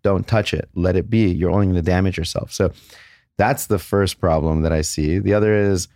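A man's voice: deep voice, fairly even intonation, brisk, dark tone, no room sound, clean studio sound. A man, a plastic surgeon, speaking candidly in a casual interview, blunt with crude jokes.

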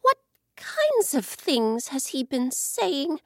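A woman voicing the ocean as a proud, easily offended female figure in a children's story, speaking high and indignant. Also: big pitch swings, a little slow, dry recording, clean studio sound. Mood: worried.

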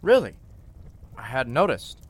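A man playing a polite, level-headed lawyer in an old-time radio drama, speaking in a measured, slightly formal way. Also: mid-range voice, very animated. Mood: puzzled.

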